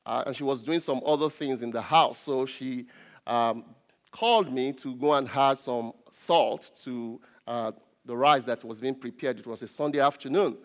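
A man with a Nigerian accent, a native Yoruba speaker, preaches a sermon as a pastor in a deliberate way, repeating key phrases. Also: medium pitch. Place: large reverberant indoor church space. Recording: reverberant hall.

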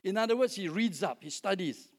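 A man's voice: sits high, expressive, brisk. An older man with a Singaporean accent preaching a sermon in a conversational way, often putting questions to his listeners. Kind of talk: sermon with scripture reading and teaching.

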